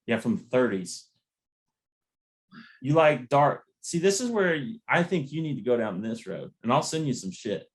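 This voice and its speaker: A man with a medium-pitched voice chats as a podcast host. He talks in a laid-back, slangy, rambling style, as in an informal conversation. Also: big pitch swings, normal speed. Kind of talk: casual music chat.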